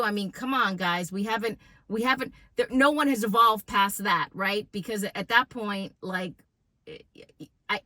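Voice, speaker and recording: A woman's voice: medium pitch, bright, forceful tone, dry recording. A woman giving casual, opinionated sports commentary in an informal, emphatic way, often putting rhetorical questions to her listeners.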